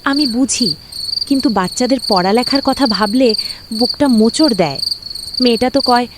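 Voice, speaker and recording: A woman voicing a gentle, hopeful village mother who reassures her husband. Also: a little high, moderate pace, lightly acted. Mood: tender. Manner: soothing, reassuring. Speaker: cartoon voice actor. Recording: loud cricket and insect noise.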